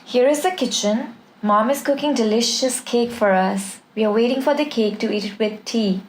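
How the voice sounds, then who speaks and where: A woman's voice: a little high, moderate pace, a little hiss or hum, lightly acted. A woman playing a caring, anxious seventeen-year-old girl in a film script table read. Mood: tender, hopeful.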